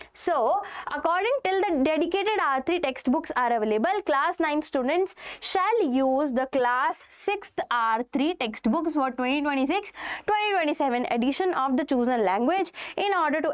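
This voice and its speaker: A young woman teacher with an Indian English accent, explaining a school policy to students and checking in with them often. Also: high voice. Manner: addresses students directly, checks in with "okay" and "right".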